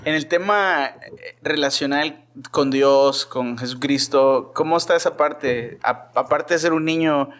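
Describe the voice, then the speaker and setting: A man's voice: fairly high pitch, measured pace, a bit bright. A man hosting a podcast, asking questions in a casual conversational way. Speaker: podcast host, interviewer.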